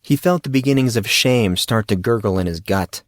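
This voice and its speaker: A man narrating scripted fiction as an audiobook, in a measured, dramatic storytelling manner. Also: lowish voice, no echo, studio quality.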